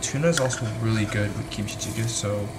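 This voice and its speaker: A man's voice: lowish voice, fast. A young man chatting casually while he cooks at home, thinking aloud and poking fun at his own cooking. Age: young adult. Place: home kitchen, indoors. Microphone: camera mic at a distance. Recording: echoey kitchen with frying noise. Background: sizzling pan and background music.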